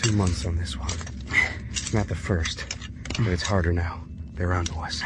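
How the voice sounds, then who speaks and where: An actor playing a wary, hard-bitten prisoner in a radio drama, speaking tersely and guardedly. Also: male voice, low-pitched, fairly even intonation, normal speed, noisy background, lightly acted.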